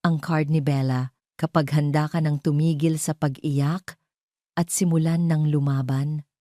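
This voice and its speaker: A woman's voice: low voice, steady tone, a little slow, mellow, dry recording, clean studio sound. A woman with a Filipino accent reading a scripted, dramatic story as an audio drama narrator.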